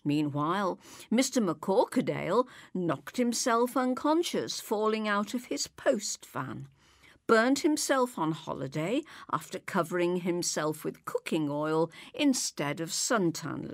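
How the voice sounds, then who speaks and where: A woman's voice: fairly low pitch, dry recording, studio quality. A woman reading scripted narration for an audio magazine. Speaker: magazine programme reader.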